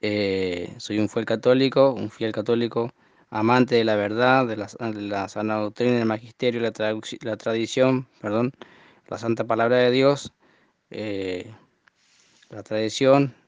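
A man in his early twenties with a northern Argentine accent, speaking off the cuff in an earnest, indignant, halting monologue. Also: fairly even intonation, no echo. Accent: northern Argentine Spanish. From Formosa, Argentina. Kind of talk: unscripted monologue to camera. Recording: muffled, dull low-fidelity audio.

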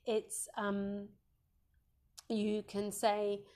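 A woman with a warm, mellow, slightly croaky voice hosts a live parenting Q&A. She speaks gently and reassuringly as a Montessori educator, often pausing on "um". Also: medium pitch, completely flat delivery. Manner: gentle, reassuring, with frequent ums.

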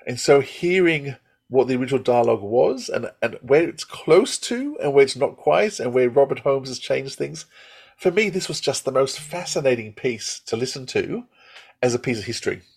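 A man's voice: medium pitch, animated, normal speed, gravelly, darkish tone, slightly rough. A man hosting a podcast, giving enthusiastic, rambling reviews in casual chat and often breaking off his own sentences. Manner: enthusiastic, rambling with self-interruptions. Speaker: podcast host.

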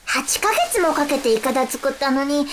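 A woman playing an exasperated castaway high school girl in a radio drama, blunt and complaining, with chatty narrating asides. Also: brisk, slight background noise, close to an everyday voice. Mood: frustrated effort. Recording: music behind the voice.

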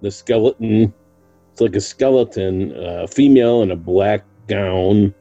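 A man's voice: fairly low pitch, measured pace, dark tone, no echo, lo-fi sound, soft background music. A man co-hosting a podcast, chiming in with brief, dry remarks in casual chat. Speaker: podcast co-host.

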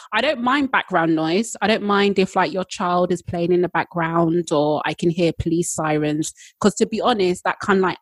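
A woman co-hosting a podcast, chatty and quick to laugh in casual conversation. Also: fairly low pitch, husky, no echo. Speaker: podcast co-host. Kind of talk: casual chat.

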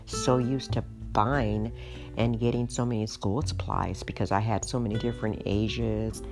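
A middle-aged woman hosting a podcast, hoarse tonight, chatting in an unhurried way with frequent ums. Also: a bass voice, fairly even intonation. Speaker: podcast host. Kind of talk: casual solo podcast talk. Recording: music playing underneath.

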